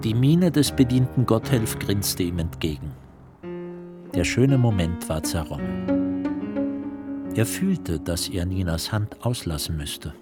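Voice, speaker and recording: An actor playing the sober narrator of a literary radio play, speaking in a measured, deliberate way. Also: male voice, low voice, animated, loud music behind the voice. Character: sober male storyteller of a dreamlike literary tale.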